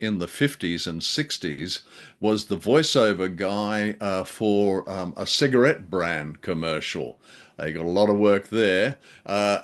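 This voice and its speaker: A man co-hosting a casual review discussion, talking in a wry, dryly humorous way with hesitant phrasing. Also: on the low side. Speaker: podcast co-host.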